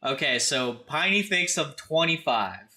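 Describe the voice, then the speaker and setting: Very bright-voiced man chatting casually. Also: fairly high pitch, expressive, unhurried. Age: 30s. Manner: informal, conversational, addresses chatters directly. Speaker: live streamer, host. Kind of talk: casual livestream chat with viewers.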